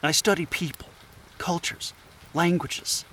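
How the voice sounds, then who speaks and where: Casting: male actor as scatterbrained anthropologist for audio drama. High register, stammering, earnest. Noisy rainy ambience. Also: fairly even intonation, sharp tone. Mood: awkward, curious. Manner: halting, stammering. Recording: clearly noisy, rain-like ambience behind.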